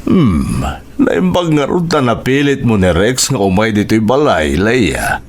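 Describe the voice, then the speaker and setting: A man's voice: fairly low pitch, sing-song, measured pace. A man playing a dignified, well-off middle-aged father in a radio drama. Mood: measured, formal. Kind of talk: Ilocano radio drama acting.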